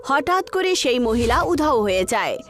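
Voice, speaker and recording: High, animated woman narrating a horror story. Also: a little slow, a little hiss or hum.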